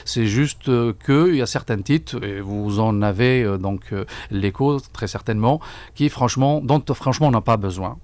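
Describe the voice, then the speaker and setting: A middle-aged man giving formal, deliberate answers in a radio interview, often repeating words as he searches for the next. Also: mid-range voice, a little mellow, dry recording. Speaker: book fair coordinator, interview guest. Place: radio studio. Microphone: broadcast microphone on desk stand.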